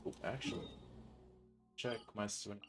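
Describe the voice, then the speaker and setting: A man's voice: on the low side, steady tone, unhurried. A man chatting casually on a livestream as he plays a game, rambling and thinking aloud in informal slang. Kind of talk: casual livestream chat while playing. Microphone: streaming mic with noise suppression. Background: game music.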